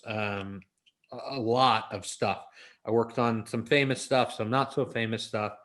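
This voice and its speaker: American man chatting as interview guest. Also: medium pitch. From Los Angeles, United States. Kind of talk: casual conversational interview.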